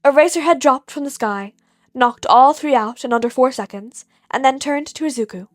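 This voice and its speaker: Higher-voiced woman narrating a story. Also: normal speed, no room sound. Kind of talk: expressive scripted story narration.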